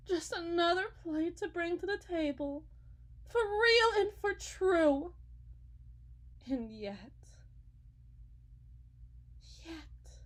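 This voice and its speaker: A woman playing an unsettling, fervent faith healer and self-styled prophet in a dramatic roleplay monologue, sweetly coaxing and pleading, stretching her words and dropping into hushes. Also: sits high. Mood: devout, earnest, then wounded, indignant. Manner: theatrical, pleading, sing-song preaching with drawn-out words and sudden hushes.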